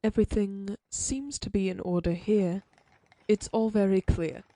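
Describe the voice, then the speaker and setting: A woman's voice: medium pitch, fairly even intonation, a little slow, dark tone, no echo, lightly acted. A woman voicing a prim, sympathetic frontier lawyer in an audio roleplay, speaking formally with old-fashioned phrasing. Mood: calm, polite.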